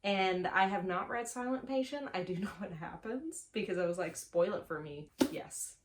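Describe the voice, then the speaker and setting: A woman's voice: mid-range voice, normal speed, very noisy. A woman chatting casually to camera as a book reviewer, playful and self-mocking, stretching words for dramatic emphasis. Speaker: book reviewer on a video channel.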